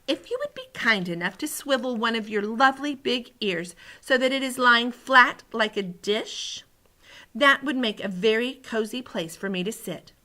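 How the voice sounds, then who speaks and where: A woman voicing a plucky, polite little girl from a children's storybook, outspoken yet ready to turn hushed. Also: normal speed. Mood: polite, cautious. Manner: pitched up, often dropping to a hushed whisper.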